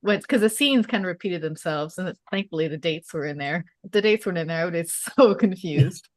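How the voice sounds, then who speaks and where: A chatty, expressive woman talking casually in an online group call. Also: on the low side, brisk, no echo. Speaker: table read participant. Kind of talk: casual chat.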